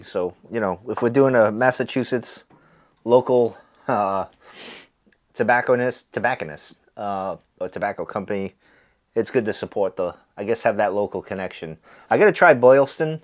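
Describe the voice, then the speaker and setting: A man with a stuffy, nasal voice, chatting casually with lots of fillers and restarts. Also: lowish voice. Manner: rambling, easygoing, many fillers and restarts. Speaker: pipe smoking video host. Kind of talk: casual chat.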